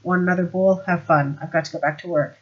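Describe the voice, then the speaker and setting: A weary watch sergeant with a bone-dry, sarcastic delivery, voiced by a woman reading aloud. He speaks at an ordinary pitch with quiet menace. The recording is a little dull. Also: steady tone, fast, slightly rough.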